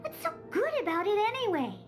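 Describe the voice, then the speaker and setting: A woman's voice: extremely high pitch, sing-song, fairly quick. A woman voicing a grumpy young catgirl bartender in a video game, huffy, haughty and sulky. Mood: haughty, skeptical. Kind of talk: animated game voice acting. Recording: music behind the voice.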